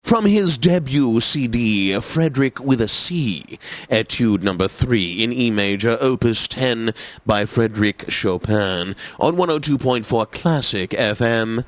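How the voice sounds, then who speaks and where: A man's voice: medium pitch, expressive, measured pace, dry recording, lightly acted. Actor as pompous, suave classical radio DJ man. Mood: suave, formal. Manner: pompous, theatrical, savouring words. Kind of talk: radio announcing, video game comedy.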